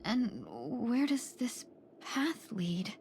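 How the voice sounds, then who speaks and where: An actress voicing a lost, timid young woman with amnesia in a video game, soft, breathy and hesitant, often near a whisper. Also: fairly high pitch, lively intonation, background noise, full character voice. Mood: dazed, wondering. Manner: hesitant, halting, hushed. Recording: game audio under music. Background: game music.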